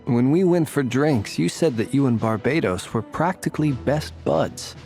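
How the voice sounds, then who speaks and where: Wry, creaky-voiced shrewd schemer. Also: male voice, medium pitch, lively intonation, moderate pace, darkish tone, soft background music. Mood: wry, knowing. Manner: dry, knowing, teasing. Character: wry, shrewd, easygoing male fantasy game character. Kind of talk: video game character acting.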